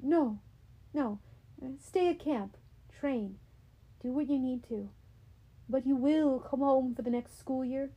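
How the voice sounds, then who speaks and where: A woman's voice: high-pitched, slow pace, long pauses, mellow, breathy edge, exaggerated voice, poor audio. A woman voicing a loving, frazzled mother who frets over her son. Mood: tender.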